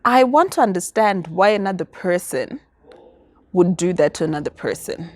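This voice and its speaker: A woman's voice: fairly low pitch, animated, normal speed. A confident, articulate woman from Zimbabwe, answering interview questions about business and activism. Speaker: guest.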